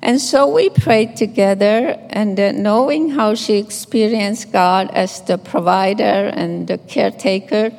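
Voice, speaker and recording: An older woman from Finland with a Finnish accent, a nun reading scripture and giving a gentle, measured memorial tribute. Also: mid-range voice, creaky. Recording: echoey hall reverb.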